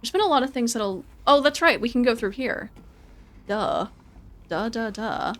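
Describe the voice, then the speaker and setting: A woman's voice: fairly high pitch, moderate pace. Chatty woman streamer, noisy background. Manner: chatty, informal, repeats words and phrases. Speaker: game streamer. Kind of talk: casual live gameplay commentary, chatting with viewers and reading their messages.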